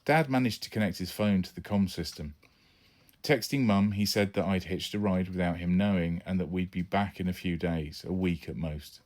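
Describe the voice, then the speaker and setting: A man's voice: low-pitched, normal speed, a little mellow. A man reading his own children's adventure story as an audiobook, acting out the characters as he goes. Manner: storytelling, voices each character. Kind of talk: scripted audiobook narration.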